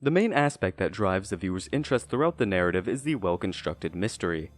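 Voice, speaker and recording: A man reading a scripted, analytical video review in a measured, deliberate way. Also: lively intonation, no echo. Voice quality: low-pitched voice. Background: background music.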